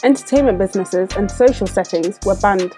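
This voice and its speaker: A woman reading scripted documentary narration in a measured, even way. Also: medium pitch, faint music behind.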